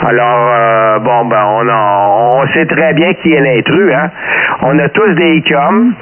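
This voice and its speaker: A man's voice: medium pitch, slow, slight background noise. Québécois man over narrow-band ham radio. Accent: Québécois French. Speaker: amateur radio operator. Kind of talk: casual radio contact chat. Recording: muffled narrow-band amateur radio transmission.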